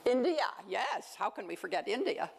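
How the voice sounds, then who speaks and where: A woman's voice: mid-range voice, very animated, moderate pace, gravelly, slight background noise. A woman leading an informal, interactive talk, putting questions to a group and repeating answers back. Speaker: speaker leading audience discussion.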